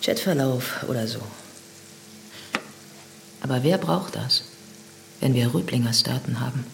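A man's voice: medium pitch, animated, a little slow, creaky. An actor playing a sardonic male police detective in a radio drama, probing with dry irony. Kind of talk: German radio drama dialogue.

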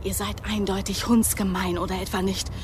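A woman's voice: mid-range voice, level tone, normal speed, slight background noise, lightly acted. An actress playing a defiant, wounded young woman agent in a radio drama, with a blunt, challenging manner. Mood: bitter, challenging.

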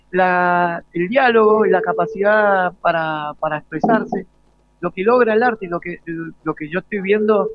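A man's voice: high-pitched, slight background noise. A man with an Argentine accent hosting an informal live radio interview, colloquial and searching for words. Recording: slightly dull, lo-fi live radio sound.

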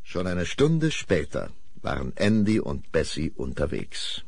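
A man's voice: low-pitched, huge pitch swings, a little slow, creaky. A man narrating a radio play as a storyteller, with suspenseful, emphatic delivery.

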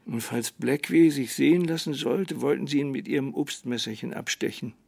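A laconic country sheriff in a radio drama. His voice has a gravelly fry and medium pitch, recorded very dry. He sounds measured, calm and resigned. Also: no room sound. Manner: dry, measured, hesitant hums.

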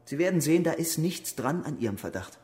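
An actor playing an earnest, defensive young army conscript in a radio crime drama, speaking hesitantly. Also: male voice, a little high, sing-song, fairly quick, a little mellow. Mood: loyal, insistent. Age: young adult.

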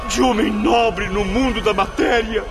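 A man's voice: sits high, steady tone, unhurried, over music. An actor playing the anguished, proud, blind ghost of a male writer, lamenting and pleading theatrically in a radio drama. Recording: noisy radio drama with ambient sound.

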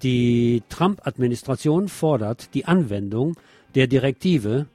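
A man's voice: mid-range voice, dark tone, no echo. A man presenting scripted news with commentary, explaining items in an opinionated way.

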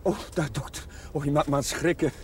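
Higher-voiced, earnest young chaplain played by a man. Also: fairly even intonation, quick pace, lightly acted. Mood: polite, hesitant. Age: 30s. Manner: hesitant, musing, searching for words. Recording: television drama with faint hiss.

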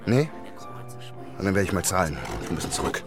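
An actor playing a brisk, commanding male police commissioner in a radio drama, curt and authoritative. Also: deep voice, lively intonation, over music. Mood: calm. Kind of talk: German radio drama acting.

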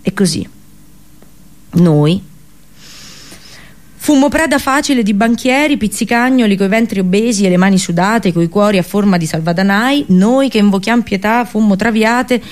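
A woman acting as a captive princess and seer from an ancient lost war, speaking in a solemn radio play. Her medium-pitched voice is grave and sorrowful. She declaims literary lines with deliberate phrasing. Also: a little mellow, close to an everyday voice. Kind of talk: solemn radio theatre monologue.

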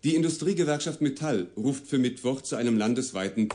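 A man's voice: mid-range voice, animated, brisk, warm tone, slight background noise, natural voice. A man reading scripted radio news in a formal, neutral, precise manner, as part of a radio drama. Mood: neutral, official. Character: formal male radio newsreader. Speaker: news reader and announcer.

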